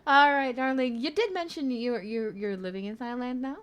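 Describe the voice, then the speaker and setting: Chatty woman host, slightly high voice. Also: moderate pace, noisy background. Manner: chatty, laughs often, warm check-ins. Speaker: radio stream host. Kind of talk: casual interview chat.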